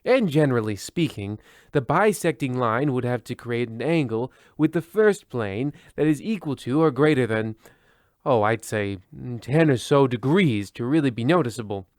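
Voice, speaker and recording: An actor playing a pompous, pedantic, rambling horror-anthology narrator in a mock-ominous radio play. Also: male voice, mid-range voice, animated, moderate pace, dry recording, clean studio sound, natural voice. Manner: pedantic, digressive.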